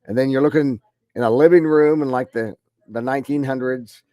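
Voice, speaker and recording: A man's voice: mid-range voice, brisk, mellow, no echo. A middle-aged man with a head cold teaching an online math class, rambling with many fillers and restarts. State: head cold, deep congested voice.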